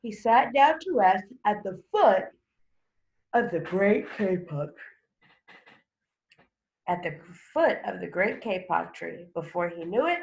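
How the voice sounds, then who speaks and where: A woman teaching young children, reading aloud and explaining in a deliberate, emphatic, questioning way. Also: medium pitch, animated, gravelly, darkish tone, muffled recording. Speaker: second grade teacher. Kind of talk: instructional read-aloud for children.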